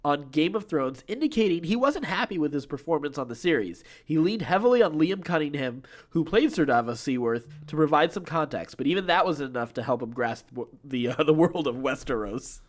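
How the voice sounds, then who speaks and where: Guy on a nerdy news podcast, kinda high voice, really up and down, rambles along casually. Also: lively intonation, brisk, few pauses. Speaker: podcast co-host. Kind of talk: casual pop-culture news chat.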